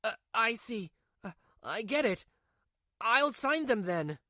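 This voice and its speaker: A man's voice: high-pitched, sing-song, slow, bright, forceful tone, no room sound, clean studio sound, heavily acted. A man voicing a whiny, cowardly cheating husband in a manga dub, stammering and pleading. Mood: sheepish resignation.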